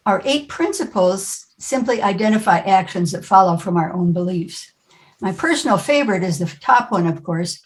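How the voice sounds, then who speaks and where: A woman leading a congregation's service with an informal, conversational welcome, halting now and then as she speaks. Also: mid-range voice, animated.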